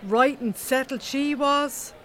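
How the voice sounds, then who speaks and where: An actress playing a blunt, chatty, gossipy Irish animal-rights activist with an earthy colloquial manner in a comedy audio drama. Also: female voice, sits high, slow, fairly bright tone, a little hiss or hum.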